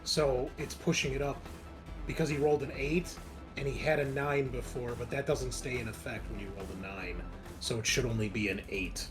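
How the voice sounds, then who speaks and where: A man's voice: medium pitch, steady tone, moderate pace. A man chatting casually as a player in a tabletop roleplaying game. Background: music.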